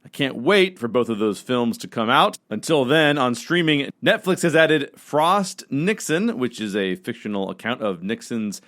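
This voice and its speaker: A man's voice: mid-range voice, very animated, moderate pace, few pauses, a bit bright. A man presenting a scripted rundown of entertainment news for a podcast.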